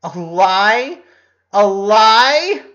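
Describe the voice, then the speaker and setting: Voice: mid-range voice, big pitch swings, drawn-out words, fairly bright tone, muffled recording, voice pushed up, full character voice. A narrator voicing an upset, confrontational preteen girl with emotional, pleading outbursts in an audiobook-style story. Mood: angry, hurt.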